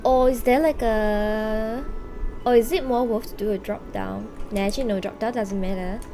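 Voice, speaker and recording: A woman's voice: fairly high pitch, steady tone, normal speed, dark tone. A woman livestreamer chatting casually and playfully with viewers, using gamer slang and the odd swear word, often thinking aloud. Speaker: live game streamer. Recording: music behind the voice. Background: video game soundtrack.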